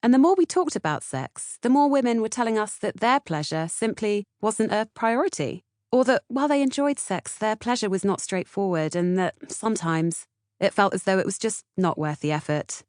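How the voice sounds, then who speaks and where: A woman's voice: mid-range voice, animated, normal speed, a little mellow, dry recording, clean studio sound. A woman with a British accent reading scripted audiobook narration. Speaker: author-narrator reading audiobook, podcast co-host.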